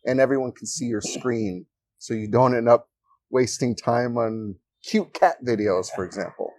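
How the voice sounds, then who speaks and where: A man from Ecuador speaking as a guest in a casual interview in a coworking space, relaxed and joking as he tells stories. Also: medium pitch, moderate pace, dry recording. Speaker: guest, freelancer.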